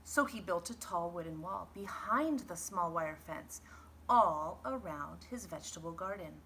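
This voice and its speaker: Bright-voiced, slow-paced woman reading a storybook. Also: lowish voice, a little hiss or hum, low-quality recording. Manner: expressive, dramatic, dropping to hushed sound words. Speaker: early childhood teacher reading to children. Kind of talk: animated picture-book read-aloud.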